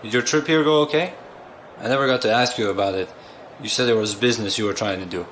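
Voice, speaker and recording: A man's voice: on the low side, fast talker, fairly bright tone, slight background noise, natural voice. A man playing a loyal, brotherly friend in an audio drama, earnest and informal. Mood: earnest, contrite.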